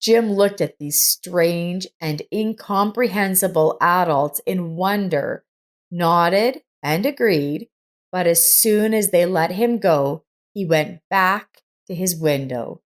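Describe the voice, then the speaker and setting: A woman reading a scripted story in a measured storytelling manner. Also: on the low side. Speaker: story narrator. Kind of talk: scripted audiobook-style narration.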